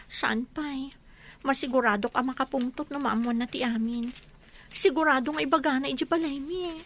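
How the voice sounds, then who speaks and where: A woman's voice: high-pitched, moderate pace, fairly bright tone, slight background noise. A woman with an Ilocano accent playing a coy young sweetheart in a radio comedy-drama. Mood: worried. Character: young sweetheart, coy and worried about her parents.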